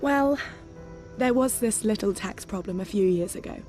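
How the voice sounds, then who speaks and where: A woman's voice: medium pitch, normal speed, mellow, close to an everyday voice. An actress plays a worldly socialite who spies on the side in a game cutscene. She sounds playful, arch and sure of herself, with music under her lines. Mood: coy, playful. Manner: playful, arch, self-assured. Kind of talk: video game cutscene acting. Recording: music behind the dialogue.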